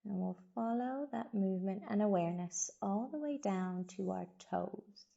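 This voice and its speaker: A woman guiding a body scan meditation as a yoga teacher, giving gentle, soothing instructions with long pauses. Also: mid-range voice, gravelly, muffled recording.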